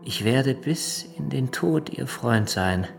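An actor playing a solemn, sentimental revolutionary reading out his letter in a radio drama, halting and intense, with a creaky voice. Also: medium pitch, animated, soft background music. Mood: devoted solemnity. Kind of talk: German radio drama acting.

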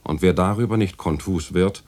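An actor playing a jovial, teasing literary critic in a German radio play, husky and theatrical, speaking in florid, ironic phrases. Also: male voice, sits low, normal speed, a little mellow. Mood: teasing, amused.

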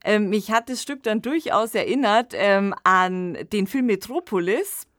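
A woman's voice: medium pitch, a little slow, a bit bright, dry recording. Conversational woman, dry studio sound. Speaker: podcast host. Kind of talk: conversational analysis of a radio play.

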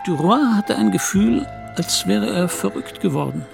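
A man's voice: high voice, expressive, normal speed, gravelly. A man narrating a German radio play in a scripted, literary storytelling style. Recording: music behind.

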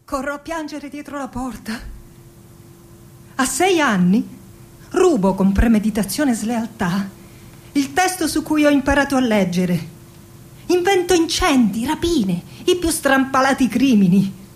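An actress playing a playful, confessional woman poet recalling her childhood in an expressive theatrical radio monologue. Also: high-pitched, unhurried. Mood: confessional, dramatic.

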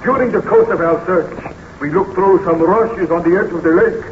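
Man acting stern village official, blunt, officious, accusing, rather high voice, 1930s radio drama, noisy muffled recording. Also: moderate pace, natural voice. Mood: grave, formal. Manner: blunt, officious, formal interrogating delivery.

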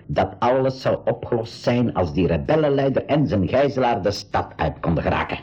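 An actor playing an idealistic older uncle and professor in a 1980s educational radio play, with a Flemish accent, a husky voice and a deliberate, explanatory manner. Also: male voice, fairly low pitch, animated, darkish tone. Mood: earnest, thoughtful. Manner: deliberate, explanatory, with hesitant repetitions. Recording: dull 1980s radio recording.

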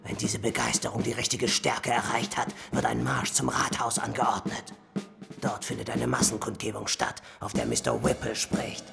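Gravelly, zealous male party officer. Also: mid-range voice, fairly even intonation, normal speed, a bit bright, soft background music. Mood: zealous, commanding. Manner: official, deferential, insistent. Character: zealous, formal male fascist party stormtrooper envoy. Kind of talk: German radio drama acting.

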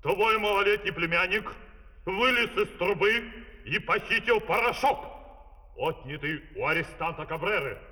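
Pompous, booming fairy-tale ruler. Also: male voice, expressive, moderate pace, bright, forceful tone. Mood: commanding. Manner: commanding, pompous, declamatory. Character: imperious, excitable male ruler giving orders in comic fairy-tale opera. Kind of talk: theatrical Soviet fairy-tale audio opera acting. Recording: distant, long hall reverb, vinyl transfer.

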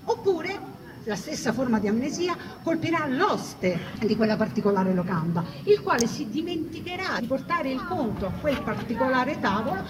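A woman performing an ironic, colloquial storytelling monologue with dramatic pauses and emphasis. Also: high voice, big pitch swings. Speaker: stage monologuist. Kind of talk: live theatrical storytelling monologue. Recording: distant mic, noisy live recording.

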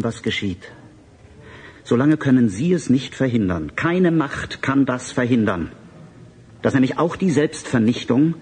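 A man playing a proud, defeated but defiant government official in a German radio drama, speaking gravely with weighty emphasis and pauses. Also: medium pitch, a little mellow.

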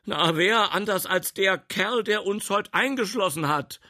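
A male narrator acts a flustered storybook village policeman, urgent and alarmed. His voice shoots up high and bright as he excitedly delivers his words. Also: a little slow.

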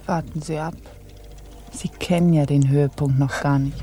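An actress playing a caged, deposed pirate captain in a radio play, speaking formally with languid, teasing irony. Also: female voice, deep voice, fairly even intonation, moderate pace, warm tone, faint music behind, lightly acted. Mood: ironic. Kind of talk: German radio play acting.

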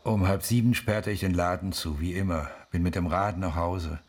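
A man's voice: low-pitched, steady tone, normal speed, a little mellow, breathy edge. An actor playing a plain, routine-bound old shopkeeper recounting his day in a radio drama. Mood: matter-of-fact. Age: older. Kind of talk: interview-like radio drama narration.

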